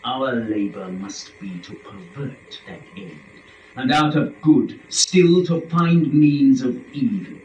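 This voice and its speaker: A man's voice: mid-range voice, slow, natural voice. A man playing a proud, defiant fallen angel who leads hell, declaiming epic verse in a grand dramatic reading. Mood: scornful, resolute. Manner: declamatory, grand, swelling from hushed to shouted. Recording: roomy, distant mic, faint noise.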